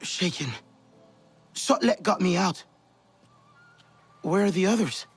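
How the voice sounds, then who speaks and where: An actor playing an earnest, cautious young alien newcomer in a video game, with a breathy, rough voice. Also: male voice, high voice, takes it slowly, soft background music, heavily acted. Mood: shaken, questioning. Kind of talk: video game cutscene acting.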